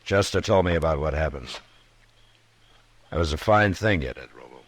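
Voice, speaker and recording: An actor playing a steady, authoritative Old West marshal with an American accent and terse, measured delivery in a radio western. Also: male voice, a bass voice. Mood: calm, probing. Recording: noisy vintage radio drama recording.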